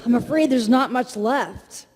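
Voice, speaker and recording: An actor playing a brisk, cocky male space-adventure hero in a live parody reading, with an animated delivery. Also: very high-pitched, a crowd in the background. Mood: wary. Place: live stage reading at a convention hall. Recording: echoey convention hall reverb.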